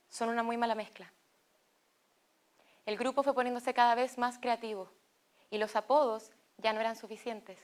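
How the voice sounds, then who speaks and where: A young woman with a Chilean Spanish accent narrates a personal testimony in a slow, deliberate way with pauses. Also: on the high side.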